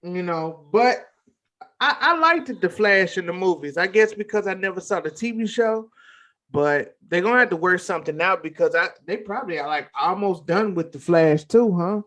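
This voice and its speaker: A man's voice: high-pitched, expressive, moderate pace, a bit bright. A man chatting casually as a co-host on a talk show, on dull lo-fi audio. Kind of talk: casual chat.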